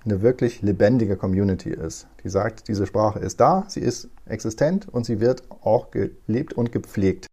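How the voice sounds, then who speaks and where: A man in his forties from northern Germany, talking as an interview guest in a relaxed, thoughtful way with many fillers. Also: on the low side, moderate pace, hardly any pauses, husky. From East Frisia, northern Germany. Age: 40s. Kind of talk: conversational interview.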